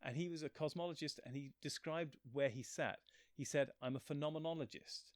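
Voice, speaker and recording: A man's voice: fairly high pitch, brisk, no echo, studio quality. A man chatting casually as an interview guest, rambling and self-deprecating, often breaking off mid-thought. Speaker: physicist and author. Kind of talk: casual chat.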